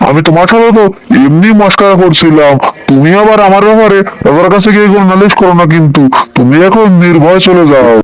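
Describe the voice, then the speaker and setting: A man's voice: high-pitched, fast talker, clean tone, dry recording, close to an everyday voice, poor audio. Man playing a blustering foolish cartoon tiger, clean voice. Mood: cowed, placating. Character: menacing but foolish, easily cowed male tiger. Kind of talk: children's cartoon voice acting.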